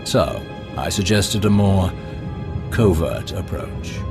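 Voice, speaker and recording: A lowish, silky male voice of a sly Sith schemer, polite and calculating. Loud music plays under his cutscene lines. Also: lively intonation, creaky, full character voice. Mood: suave. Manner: suave, ingratiating, measured. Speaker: Imperial schemer.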